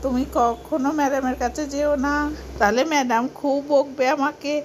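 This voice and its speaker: A woman's voice: sits high, steady tone, normal speed, a little hiss or hum, lightly acted. A woman voicing a whiny, pleading young schoolboy in a doll story. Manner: pleading, protesting.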